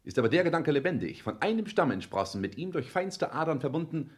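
A man's voice: on the high side, sing-song, quick pace. An actor playing a cold, calculating, arrogant businessman in a 1960s German radio play, declaiming pompously with stage diction and clipped commands. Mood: haughty, emphatic.

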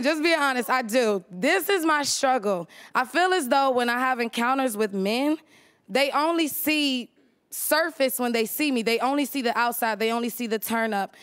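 A woman's voice: sits high, fairly bright tone. An American woman in her thirties, speaking earnestly and emphatically as an audience member asking for advice. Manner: emphatic, repeats phrases for stress. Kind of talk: earnest personal question on a talk show. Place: TV studio audience. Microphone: microphone held in front of her.

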